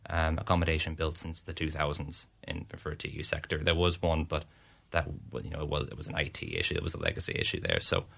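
A young Irish man with an extremely deep voice that has a gravelly fry. He answers questions in a halting way, restarting his sentences and using lots of fillers. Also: fairly bright tone. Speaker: student union representative. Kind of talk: radio interview answers.

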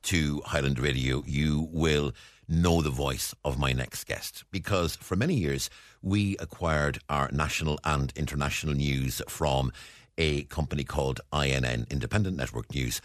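A man's voice: extremely low pitch, moderate pace. A man with a Waterford Irish accent presenting a radio interview, chatty and often repeating words as he starts a thought. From Ireland.

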